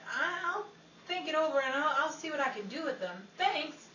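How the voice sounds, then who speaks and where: A woman's voice: sits high, lively intonation, moderate pace, pushed and bright, far from the mic. A woman playing a hesitant, agreeable 1950s radio performer in an old-time radio comedy. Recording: echoey, faintly noisy, off-mic.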